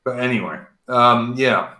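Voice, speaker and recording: A man's voice: mid-range voice, unhurried, bright, forceful tone, reverberant. A middle-aged man with a British accent carrying a South African and Australian twang, hosting a casual livestream chat, blunt and bantering, with the odd swear word. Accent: mixed British with South African and Australian twang. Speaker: stream host.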